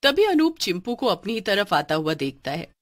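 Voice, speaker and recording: Expressive woman narrating a story. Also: mid-range voice, normal speed. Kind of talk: scripted Hindi story narration.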